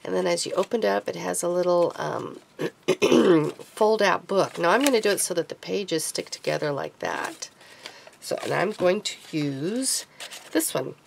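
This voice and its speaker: A woman hosting a casual craft tutorial at home, talking in a chatty, rambling way while she works, her voice deepened from being sick this week. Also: lively intonation, moderate pace, gravelly, slight background noise. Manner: chatty, rambling, self-correcting. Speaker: craft vlogger.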